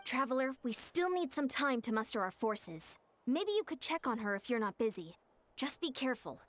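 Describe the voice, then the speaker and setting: A woman voicing a cautious, serious woman commander in a video game, speaking in a measured, earnest way. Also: a little high, very animated. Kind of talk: game voice acting.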